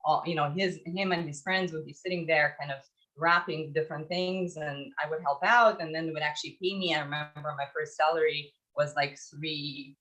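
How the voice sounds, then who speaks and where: A woman in her forties from Ukraine, telling stories in a reflective, halting way as an interview guest. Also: sits low, fairly even intonation, fairly bright tone, rough recording. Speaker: podcast guest, startup founder. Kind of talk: conversational interview storytelling.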